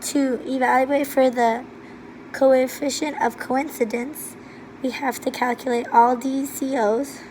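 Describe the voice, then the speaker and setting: A hoarse woman with laryngitis, presenting a recorded walkthrough of gene-mapping calculations step by step in a steady, methodical way. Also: high voice, measured pace, a little hiss or hum, low-quality recording. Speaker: student presenter.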